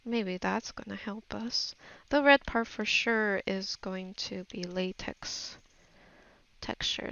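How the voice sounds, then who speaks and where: A woman's voice: mid-range voice, gravelly, a bit bright, smooth. A woman giving a casual voice-over tutorial on her art, thinking aloud in a musing, hesitant way as if half talking to herself. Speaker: digital artist giving a tutorial.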